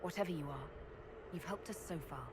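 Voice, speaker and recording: A woman's voice: lowish voice, brisk, background noise, natural voice. A woman playing a pragmatic female leader in a video game, firm, authoritative and level-headed. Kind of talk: dramatic video game acting.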